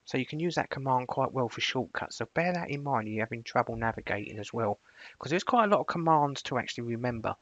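A man presenting a screencast software tutorial, switching between casual explanation and clipped spoken commands. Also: mid-range voice, expressive, fast talker, dry recording, muffled recording.

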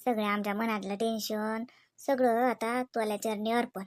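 Woman playing a high-voiced, devout village aunt, Varhadi Marathi. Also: steady tone, normal speed, no room sound, clean studio sound, natural voice. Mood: prayerful. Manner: chatty, homely, instructing. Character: devout, caring village aunt with motherly bossy temper. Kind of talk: comedy cartoon dialogue.

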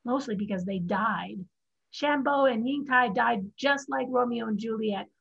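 Woman playing husky, higher-pitched practical young woman. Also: unhurried, a bit bright, heavily acted. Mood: resolute, sad. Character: Ying Tai, practical, defiant young Chinese woman. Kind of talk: staged reading of a stage drama. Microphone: video call. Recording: dull video-call audio.

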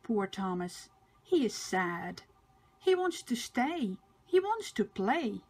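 An older woman narrating a picture book for small children, expressive and emphatic with sing-song repetition. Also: fairly high pitch, slow, husky. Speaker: storybook reader for children.

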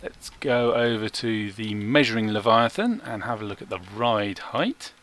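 A man giving measured, explanatory video game commentary in a self-deprecating way, recorded as a close-mic voice-over. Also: lowish voice, lively intonation, a bit bright. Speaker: gaming video creator.

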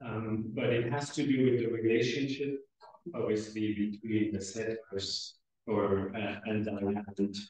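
Very reverberant room, distant mic, middle-aged man, medium pitch, halting conference speaker, hesitant pauses. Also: little pitch movement, a little mellow. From Switzerland. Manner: halting, hesitant, pausing often. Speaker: academic conference chair. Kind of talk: conference welcome speech. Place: indoor lecture room at a lectern. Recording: very echoey room, far from the microphone.

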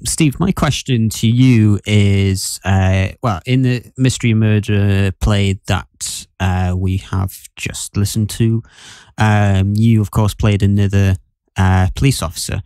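A man with a lowish voice hosts a casual podcast conversation. The recording is dry, with little room sound. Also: fairly even intonation, slow, darkish tone, clean tone, no room sound. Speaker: podcast host.

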